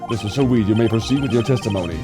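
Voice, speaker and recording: Casting: stately elderly male judge, done by an amateur reader, low, measured and formal, game courtroom with music behind. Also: lightly acted. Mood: solemn. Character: stately old male courtroom judge, solemn, slightly befuddled. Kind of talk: video game dramatic reading.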